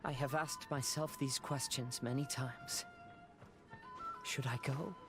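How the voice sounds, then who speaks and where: A man playing an earnest, resolute young wandering swordsman in a video game, speaking solemnly and deliberately. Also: mid-range voice, fairly even intonation, close to an everyday voice. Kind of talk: scripted game dialogue. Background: game music.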